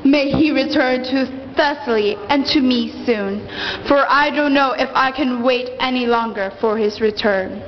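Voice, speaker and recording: Woman playing anguished devoted young queen, high voice, melodramatic, imploring, radio play, long reverb with music. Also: a little slow, dull audio, a little hiss or hum. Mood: prayerful. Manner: melodramatic, imploring, formal old-fashioned diction. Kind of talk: amateur radio play acting of Greek myth. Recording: reverberant hall sound under music.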